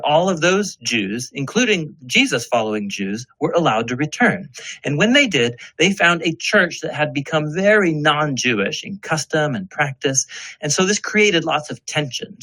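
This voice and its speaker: Lively, higher-pitched man narrating. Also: poor audio. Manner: explanatory, storytelling. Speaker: narrator of an explainer video. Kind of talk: scripted educational narration.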